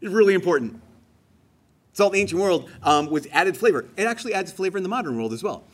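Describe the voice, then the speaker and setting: A man's voice: high voice, lively intonation, quick pace. A man giving a homily in a conversational, emphatic way, rising on key phrases. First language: English. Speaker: preacher.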